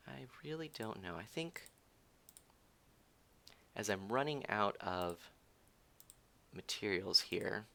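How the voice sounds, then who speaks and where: A man's voice: on the low side, lively intonation, slow pace, gravelly, pauses often, bright, forceful tone. A man streaming a game live, chatting with viewers, giggling and teasing as he thinks aloud. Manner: rambling with frequent restarts.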